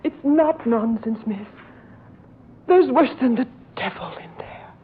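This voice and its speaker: Sickly frightened maid, high voice, deferential, imploring, tinny old radio horror. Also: female voice, animated, slow pace. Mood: frightened pleading. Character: ailing, frightened, pleading housemaid. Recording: tinny band-limited old radio, hissy.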